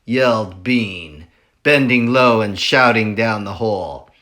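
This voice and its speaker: Slow, expressive man reading a children's book. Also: lowish voice. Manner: slow, expressive, sing-song storytelling. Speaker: reader at a school library. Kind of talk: children's chapter book read-aloud.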